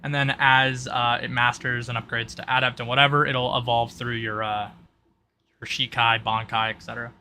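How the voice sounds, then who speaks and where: A man's voice: medium pitch, flat delivery, moderate pace, pushed and bright, slight background noise. A man talking casually as game master of a tabletop roleplaying game, explaining rules in an accommodating way.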